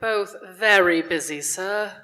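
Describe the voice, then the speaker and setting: A woman's voice: mid-range voice, takes it slowly, sharp tone. A woman playing a polite, earnest charity worker in a live radio play, with genteel phrasing. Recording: live in echoey church hall.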